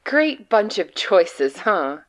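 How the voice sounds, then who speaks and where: An actress plays a trapped woman agent in a horror audio drama, frightened and despairing. She speaks slowly and haltingly, confessing with grim sarcasm. Her medium-pitched voice swings widely as she delivers her report. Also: very animated. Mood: grimly sardonic. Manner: halting, confessional, grimly sardonic. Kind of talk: dramatic monologue reading of a written report.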